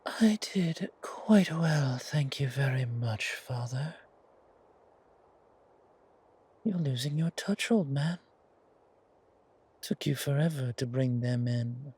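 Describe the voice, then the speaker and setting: A man voicing a young predatory creature, a vampire's devoted son, teasing and polite in a breathy half-whisper for a horror audio drama. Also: medium pitch, slow pace, darkish tone, pitched above the performer's own voice.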